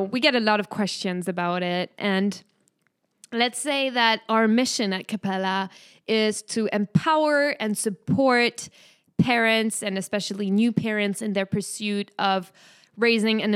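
A woman's voice: mid-range voice, clear, resonant tone. A young woman from France with a French accent, co-hosting a casual podcast chat, friendly, with frequent laughs and fillers. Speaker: podcast co-host.

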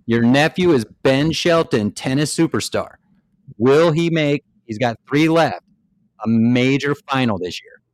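A man's voice: medium pitch, animated, a little slow, no echo. A man in his fifties hosting a casual sports interview, talking in an upbeat, emphatic way and leaning into his points. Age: 50s. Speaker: podcast host.

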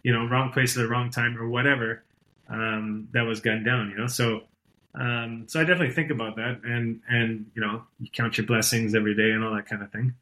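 A man's voice: medium pitch, fairly even intonation, brisk, pushed and bright, rough recording. A man in his thirties, a podcast guest talking in a loose, rambling way with many fillers and restarts. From born and raised outside Iran. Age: 30s. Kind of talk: casual conversational interview.

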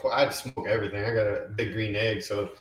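A man from Colombia, co-hosting a casual podcast, talking in a relaxed, rambling way. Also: on the low side, fairly quick, far from the mic. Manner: easygoing, rambling. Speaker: podcast co-host. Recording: roomy and off-mic.

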